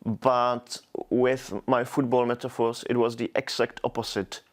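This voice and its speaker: A man's voice: mid-range voice, little pitch movement, unhurried. A man with a Czech accent, Czech being his native language, answering as a poet in an interview. He speaks thoughtfully and hesitantly, often stopping to restart words. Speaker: poet, guest.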